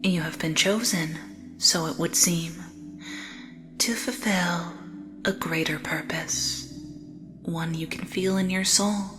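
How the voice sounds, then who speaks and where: A woman voicing a serene, mysterious porcelain doll who guides in a gothic audio drama, with measured, formal and grave delivery. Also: fairly low pitch, fairly even intonation. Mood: solemn. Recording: music behind the voice.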